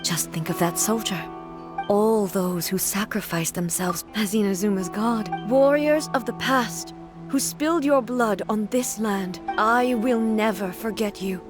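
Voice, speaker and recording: A woman playing a composed, regal warrior god in a video game, speaking in a formal, measured, solemn way. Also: mid-range voice, natural voice. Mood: solemn, sorrowful. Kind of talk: video game character dialogue. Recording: music behind the voice. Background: game music.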